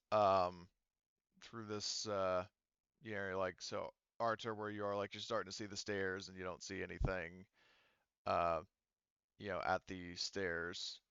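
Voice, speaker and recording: A man with a fairly low, clean voice runs a tabletop roleplaying game. He explains rules and scenes casually and haltingly. The sound is close and a little dull, with no room echo. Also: fairly bright tone, clean tone. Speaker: game master.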